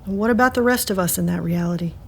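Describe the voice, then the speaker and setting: A woman's voice: lowish voice, fast talker, gravelly, a little hiss or hum. A woman playing a lonely, dejected, sympathetic farm resident in an audio drama, subdued and confiding. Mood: concerned. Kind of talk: scripted audio drama acting.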